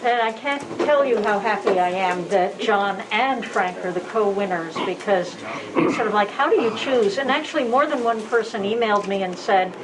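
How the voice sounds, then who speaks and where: A woman's voice: mid-range voice, moderate pace. A woman giving informal congratulatory remarks as she presents an award at a club gathering. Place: restaurant luncheon room. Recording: noisy restaurant room.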